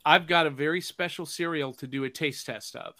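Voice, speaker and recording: A man's voice: a little high, fairly quick, sharp tone, no echo, studio quality. A man hosting a pop culture podcast, upbeat and jokey in casual chat. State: hoarse from overuse. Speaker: podcast host.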